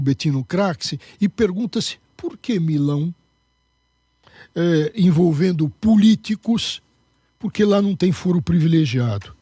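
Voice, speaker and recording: A man's voice: high voice, warm tone, husky, dry recording, studio quality. A man, a candidate and jurist, speaking emphatically in a political interview. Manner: emphatic, lecturing.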